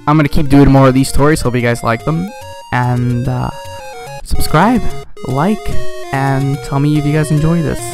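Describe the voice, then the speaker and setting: A man's voice: mid-range voice, clear, resonant tone, no echo. A young man telling a casual story with exasperated, sarcastic, slangy and sometimes profane delivery, acting out dialogue as he goes. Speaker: video creator telling a story.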